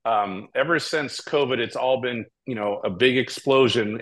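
Middle-aged man from Hawaii, mid-pitched. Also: a bit bright. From Hawaii, United States. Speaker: podcast guest, tournament director. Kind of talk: casual interview talk, storytelling.

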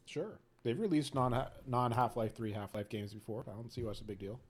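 A man with a mid-pitched voice on a casual podcast. He speaks in brief, dry, deadpan asides. His delivery stays flat and unimpressed. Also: fairly quick, breathy edge. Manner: dry, deadpan, short remarks. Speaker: podcast co-host. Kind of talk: casual chat.

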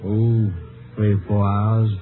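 A man's voice: fairly low pitch, monotone, slow. Folksy, rambling ranch foreman, old radio. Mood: hesitant, helpful. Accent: American. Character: garrulous, obliging male ranch foreman. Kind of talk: 1950s radio drama acting. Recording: muffled old-time radio recording with music.

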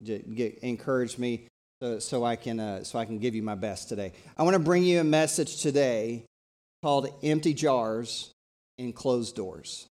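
A middle-aged man from East Tennessee, preaching a live church sermon in a conversational, energetic way that invites the congregation to talk back. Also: medium pitch, normal speed. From East Tennessee, USA. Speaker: pastor.

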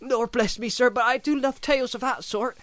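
A man's voice: very high-pitched, normal speed, a bit bright, no echo, voice pushed up. A man reading aloud voices a timid, stammering country gardener who speaks in a rustic, pleading way. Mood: eager. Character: timid rustic male gardener, anxious and eager.

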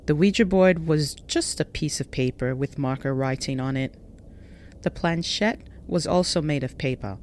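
A woman reads a scripted first-person scary story in a measured, dramatic way, drawing out some phrases. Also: a bass voice, a little hiss or hum. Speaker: narrator reading a listener's scary true story.

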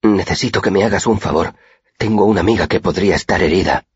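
A man's voice: sits low, brisk, husky, no echo. A man voicing an earnest, polite and anxious young male student in audiobook dialogue, with dull, muffled sound. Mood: anxious, urgent.

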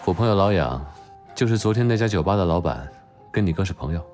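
An actor playing a cool young male doctor in an audio drama, gruffly teasing in a dry, blunt, exasperated manner. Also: deep voice, expressive, fast talker, a little mellow, faint music behind. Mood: calm, matter-of-fact. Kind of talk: Chinese audio drama acting.

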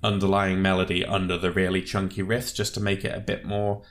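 Male co-host, deep voice, measured and casual, reviewing music. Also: level tone. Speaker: podcast co-host.

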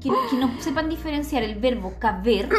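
A woman's voice: on the high side, normal speed, loud music behind the voice. A Chilean woman co-hosting a podcast, chatting casually and laughing as she teases. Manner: laughing, animated, teasing. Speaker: podcast co-host. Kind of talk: casual chat.

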